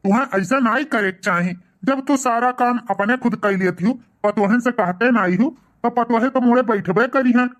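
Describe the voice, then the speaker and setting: A man's voice: extremely high pitch, normal speed, few pauses, fairly bright tone. A man voicing a grumbling but concerned old village husband in a cartoon comedy, scolding and questioning, with an eastern Uttar Pradesh accent. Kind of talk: cartoon comedy dialogue in rural dialect.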